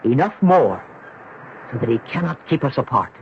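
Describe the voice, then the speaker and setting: An actor playing a wary young surfer in a 1970s radio drama, speaking and narrating in a dramatic, emphatic way. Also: male voice, medium pitch, big pitch swings, moderate pace, gravelly. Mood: weary, wary. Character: bewildered young surfer man, wary and agitated. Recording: dull, noisy 1970s radio recording.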